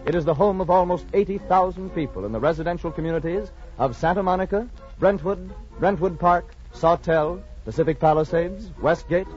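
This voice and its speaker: A man's voice: sits high, fairly quick, a little mellow, soft background music. A man reading scripted narration in a formal, dramatic 1940s radio style. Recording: muffled 1940s radio recording.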